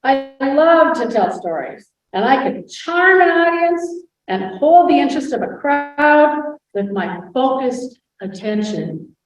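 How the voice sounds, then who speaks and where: A woman's voice: high-pitched, lively intonation, slow, few pauses, faint music behind. A woman playing a proud, witty 19th-century noblewoman in a scripted first-person portrayal, with a grand storytelling delivery, recorded far from the mic in a very echoey hall. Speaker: historical impersonator. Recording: roomy hall sound, far from the mic, dull.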